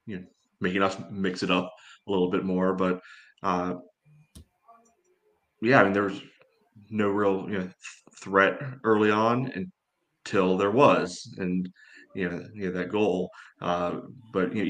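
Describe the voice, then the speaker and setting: A man co-hosting a casual sports analysis chat in a halting way, leaning heavily on "you know". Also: low voice, a bit bright. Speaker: podcast co-host and game analyst.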